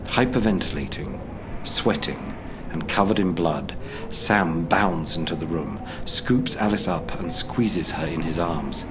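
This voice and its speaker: A man's voice: low-pitched, unhurried, background noise. A man giving a scripted, dramatic narration of a screenplay. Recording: loud music behind the narration. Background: music.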